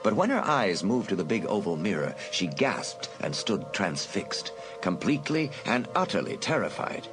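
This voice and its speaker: Low, expressive male audiobook narrator. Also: normal speed, hardly any pauses, music in the background, low-quality recording. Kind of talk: dramatic scripted narration of a suspense story.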